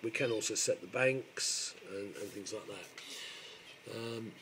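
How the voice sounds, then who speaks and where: A man gives an informal software tutorial, explaining step by step in a halting way. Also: on the low side. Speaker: software demonstrator. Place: indoor room, filming a computer screen. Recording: noisy amateur camera microphone recording.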